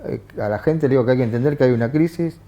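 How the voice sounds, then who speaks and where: A man with an Argentine accent, a journalist guest on a TV political panel who talks in halting phrases with frequent restarts and fillers. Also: mid-range voice, dark tone, muffled recording. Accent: Argentine Spanish. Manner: hesitant, many restarts and fillers. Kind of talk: TV studio political discussion. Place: TV studio.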